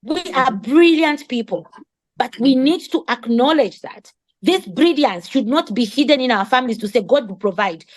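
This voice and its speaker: A woman who speaks English as a second language, giving an impassioned advocacy speech in an emphatic, rhetorical manner. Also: sits high. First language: not English. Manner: emphatic, rhetorical questions, repeats words for emphasis. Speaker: speaker at a community summit.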